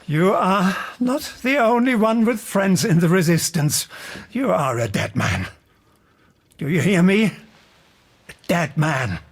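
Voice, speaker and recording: An actor playing a fanatical, menacing Nazi commander in a video game, with a German accent and a declamatory, threatening delivery. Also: male voice, high-pitched, big pitch swings, slow, pauses often, heavily acted. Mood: cold threat. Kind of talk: video game villain acting, oratory. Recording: clear background ambient noise.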